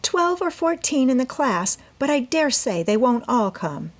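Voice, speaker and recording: A woman's voice: high-pitched, very animated, a little slow, pitched above the performer's own voice, heavily acted. A woman voicing a genteel, self-assured teenage girl with airy, persuasive manners in a storybook reading. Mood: insistent, proper. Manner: airy, important, sweetly persuasive.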